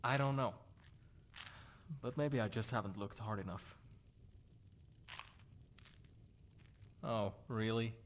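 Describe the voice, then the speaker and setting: A man's voice: fairly low pitch, normal speed, a little hiss or hum, close to an everyday voice. An actor playing a gloomy, disillusioned nobleman in a fantasy video game, brooding and questioning himself. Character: dour, disillusioned Nordic nobleman doubting his purpose. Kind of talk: video game character dialogue.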